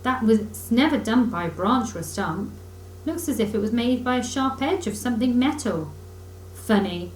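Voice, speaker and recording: An excitable male rat out of a children's storybook, played by a woman. The high voice leaps around with great animation and is eager and bossy in manner. The room echoes around it. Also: normal speed, close to an everyday voice. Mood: kindly. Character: excitable, kindly but impatient male water rat, an animal. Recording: roomy echo, faint background noise.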